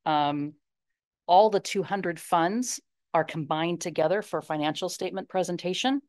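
A woman explains district funds to a board in a measured, explanatory manner. Her voice is quite low. The sound is dry. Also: a little mellow, dry recording. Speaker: district finance officer. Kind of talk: budget report.

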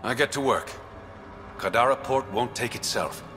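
An actor playing a suave, wry smuggler and secret crime boss in a game, teasing and self-assured. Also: male voice, mid-range voice, normal speed, music in the background, lightly acted. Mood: earnest. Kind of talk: cinematic game dialogue acting.

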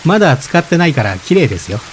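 A man's voice: fairly high pitch, big pitch swings, fast, a little hiss or hum. An actor playing a friendly, polite, easygoing male hiker in his thirties in a radio drama. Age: 30s.

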